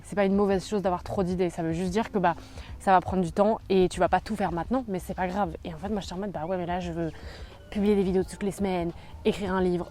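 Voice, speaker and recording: Fast-talking chatty young woman. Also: fairly low pitch, dark tone. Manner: chatty, rambling, many fillers. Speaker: book YouTuber. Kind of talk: casual vlog chat.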